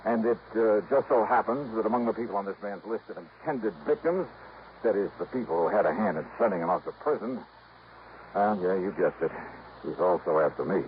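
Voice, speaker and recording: An actor playing a wry, relentless insurance investigator in a 1950s radio detective drama, brisk and pointed. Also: male voice, on the low side, lively intonation. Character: hard-boiled male freelance insurance investigator, wry, relentless. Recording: dull, noisy old radio recording.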